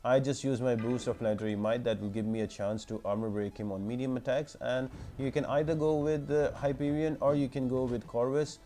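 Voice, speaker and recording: A man's voice: mid-range voice, steady tone, brisk, few pauses, dark tone. A man giving informal walkthrough commentary for a mobile game, explaining things step by step in an instructional way. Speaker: gaming guide video host.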